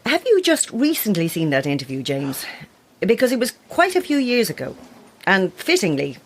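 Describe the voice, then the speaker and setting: An actress playing a proud, sceptical horror novelist in an audio drama, with a wry, self-assured manner. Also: female voice, mid-range voice, huge pitch swings, normal speed, slight background noise. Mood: dry, defiant. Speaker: actor.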